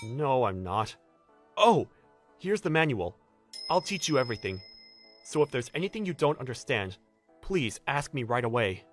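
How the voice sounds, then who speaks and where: A man's voice: medium pitch, sing-song, normal speed, long pauses, soft background music. A man voicing an earnest, kind young office worker in an anime-style dub, with an emphatic way of talking. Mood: earnest, apologetic.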